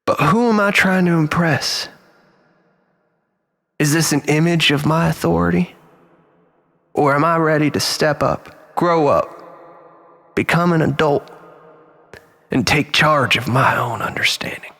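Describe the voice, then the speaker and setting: A man delivering a dramatic, confessional spoken-word monologue in a brooding, theatrical manner, often dropping toward breathy near-whispers, with a creaky voice. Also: a little high, measured pace, pauses often, a bit bright. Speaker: storyteller, monologist.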